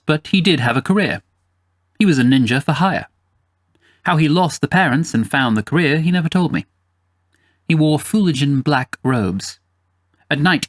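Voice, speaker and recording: A man voicing a boastful leonine beast who narrates a fantasy story in formal, self-important, theatrical phrasing. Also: mid-range voice. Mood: confiding, self-important. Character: boastful leonine beast bard, grandiose self-styled honest chronicler.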